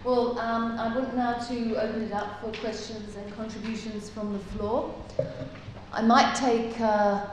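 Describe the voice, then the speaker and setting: A woman's voice: a little high, level tone, a little slow. A middle-aged woman chairing a panel and moderating questions from the floor. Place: lecture hall stage, seated. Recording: distant echoey hall, clear noise.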